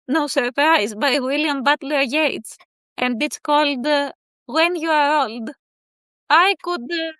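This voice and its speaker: A high-pitched woman whose native tongue is Greek answers questions about her poetry, pausing often and filling gaps with soft hesitations. Her voice is bright and clear, recorded close and dry with no room sound. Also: no room sound. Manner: thoughtful, hesitant with many fillers. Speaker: poet guest. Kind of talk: casual interview answers.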